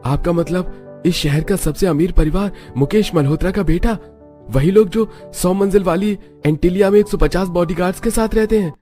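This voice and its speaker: A poor gambler of a father, greedy and flustered, acted out by a male storyteller. His voice jumps higher in disbelief, as in a melodramatic moral tale, with faint music behind. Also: moderate pace, mellow, lightly acted. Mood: incredulous. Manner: flustered, incredulous.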